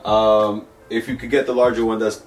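A man's voice: fairly low pitch, moderate pace, soft background music, low-quality recording. A young man from the Bronx with a New York accent, casually explaining a recipe step by step in a home kitchen. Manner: relaxed, laughs often, explains step by step. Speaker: cook showing a recipe. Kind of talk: casual cooking demonstration.

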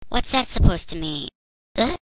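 A woman's voice: medium pitch, lively intonation, normal speed, heavy background noise, lightly acted. A performer with a woman's voice playing an earnest, protective young girl in an amateur cartoon fan dub. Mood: concerned.

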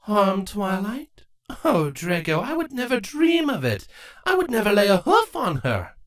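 A man's voice: high voice, wildly swooping pitch, unhurried, voice pushed up, full character voice. A man voicing an ancient, scheming changeling queen, smug and taunting with false sweetness, in a dramatic fan fiction reading. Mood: mock innocence.

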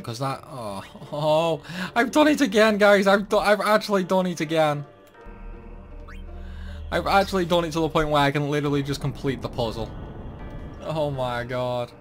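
A young man casually commenting on his own game playthrough, chatty and self-deprecating. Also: a little high. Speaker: gameplay channel host.

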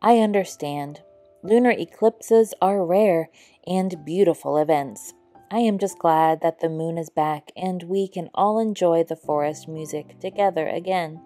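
A woman voicing a wise, gentle old male owl in a storybook, patient and measured as he explains. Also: fairly low pitch, soft background music, close to an everyday voice. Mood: forgiving. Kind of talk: storybook character voice.

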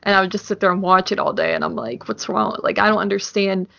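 A woman's voice: mid-range voice, lively intonation, fast, gravelly, fairly bright tone. A woman co-hosting a podcast, talking casually about films. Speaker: podcast co-host. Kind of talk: casual film chat. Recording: dull, lo-fi sound.

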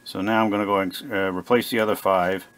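A man giving a casual, unscripted narration at a workbench, plain-spoken and halting as he thinks aloud like a hobbyist repair vlogger. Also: sits low, flat delivery, a bit bright. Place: indoor home workbench. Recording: clear background noise, workshop ambience.

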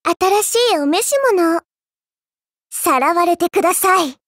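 A woman voicing a childlike, polite but quietly spiteful girl in a game, with a cutely teasing manner. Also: very high voice, unhurried, no echo, clean studio sound. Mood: playful. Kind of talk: Japanese game character voice lines.

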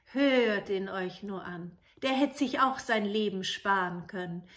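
A woman's voice: mid-range voice, measured pace, fairly bright tone, natural voice. A woman voicing a haughty eldest princess in a fairy tale, scornful and falsely sweet. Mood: mocking.

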